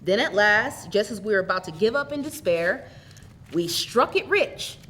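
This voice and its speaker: A woman's voice: medium pitch, expressive, normal speed, fairly bright tone, a little hiss or hum, close to an everyday voice. A woman voicing a hearty, eager older brother come home rich in a storybook tale. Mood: hearty, reassuring. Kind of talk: dramatic storybook reading.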